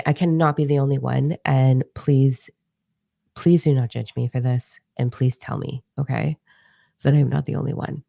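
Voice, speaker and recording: A woman's voice: rumbling low, gravelly, mellow, no room sound, clean studio sound. A woman talking casually about channeling, rambling with many ums and restarts; her first language is Italian. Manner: rambling, chatty, frequent ums and self-corrections. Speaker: spiritual channeler. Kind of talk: casual monologue to camera.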